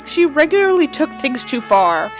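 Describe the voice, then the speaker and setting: A woman's voice: sits high, sing-song, moderate pace, soft background music. A woman giving a dramatic reading with theatrical, mocking delivery and sardonic asides. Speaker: host reading a book aloud with mocking commentary.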